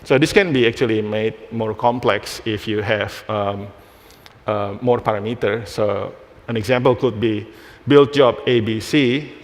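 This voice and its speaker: Halting man presenting in a reverberant hall. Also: on the low side. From Indonesia. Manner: halting, restarts phrases while demoing. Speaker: conference speaker. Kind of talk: live technical presentation. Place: conference hall stage.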